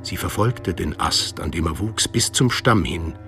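A man's voice: sits low, animated, a little slow, a bit bright, soft background music. A man narrating a scripted radio drama as a storyteller.

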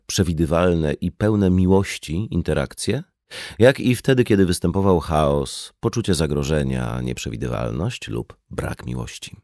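A man reading an audiobook as scripted narration, in a measured, explanatory manner. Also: deep voice, a little mellow, no echo, clean studio sound. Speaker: audiobook reader.

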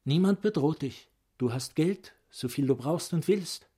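An actor in a radio play playing a philosophical, probing psychiatrist with an eloquent, rhetorical manner. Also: male voice, a little high, expressive, measured pace, mellow, breathy edge. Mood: musing, intense. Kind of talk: radio drama acting, literary monologue.

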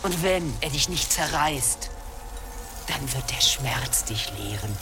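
A stern old woman seer, solemn and incantatory. She speaks in riddles and repetitions, as in a radio play, with music clearly behind her. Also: very deep voice, expressive, slow, heavily acted. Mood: foreboding. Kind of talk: German audio drama acting.

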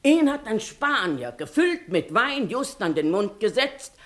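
A woman's voice: fairly high pitch, sing-song, measured pace. Stubborn village woman, aggrieved n declamatory, voice swoops up and down, old radio drama. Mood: proud, long-winded. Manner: declamatory, emphatic, plaintive. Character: indignant, long-winded village woman, a stubborn plaintiff. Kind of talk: 1960s German radio play acting, classical comedy.

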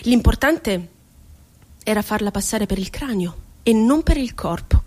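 An actress playing a bitterly ironic psychiatric inmate in a radio theatre monologue, telling her story in a deliberate, dramatic way. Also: fairly high pitch, animated, darkish tone. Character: woman psychiatric inmate recounting asylum horrors, bitterly ironic. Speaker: stage actress.

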